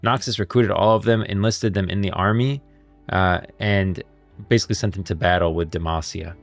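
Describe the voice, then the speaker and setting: A man's voice: low voice, steady tone, moderate pace, vocal fry, soft background music. A man giving casual, off-the-cuff livestream commentary, thinking aloud and offering opinions as he explains a story. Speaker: live streamer and lore commentator.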